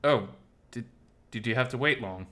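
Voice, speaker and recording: A man's voice: medium pitch, normal speed, pushed and bright, natural voice. A man voicing a despondent, anxious male animal character in an audio story, hesitant and vulnerable. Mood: hesitant, worried. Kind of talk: dramatized audio story dialogue.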